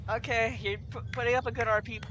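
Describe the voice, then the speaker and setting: A man's voice: extremely high pitch, flat delivery, fast, muffled recording, background noise. A man streaming a video game, giving casual, playful and somewhat hesitant commentary. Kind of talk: casual live game commentary, reading and reacting. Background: game music.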